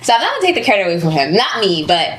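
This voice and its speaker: A woman's voice: medium pitch, very animated, racing, fairly bright tone. A young woman of Yoruba heritage raised in the United States, a mother talking candidly as an interview guest, with many "like"s and "yeah"s. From Yoruba family in the United States. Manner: candid, lots of fillers like "like" and "yeah". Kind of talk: casual interview chat.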